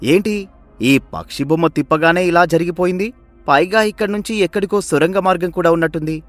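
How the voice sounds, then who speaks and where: A man voicing a young adult son who questions his father eagerly and joins his scheme, for an animated story. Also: high voice, fairly quick, no room sound, soft background music, close to an everyday voice. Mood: curious. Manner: inquisitive, eager.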